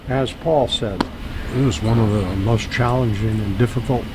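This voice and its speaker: Plain-spoken man with clear background noise. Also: lowish voice, normal speed. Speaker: interviewee, bereaved parent. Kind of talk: plain-spoken interview answers.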